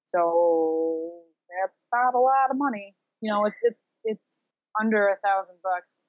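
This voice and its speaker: A woman's voice: medium pitch, measured pace, dry recording. A woman co-hosting a podcast in an informal, conversational way with wry asides, on slightly muffled audio. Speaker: podcast co-host. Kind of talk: casual podcast chat.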